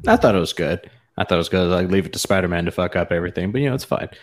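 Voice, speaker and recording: A man's voice: low voice, very fast. A man co-hosting a podcast, bantering in casual fan chat with a teasing, sardonic, profane manner. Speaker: podcast co-host.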